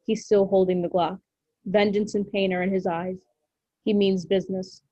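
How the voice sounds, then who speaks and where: A woman's voice: medium pitch, level tone, moderate pace, warm tone, no echo, dull audio. A woman narrating the stage directions of a script at a table read.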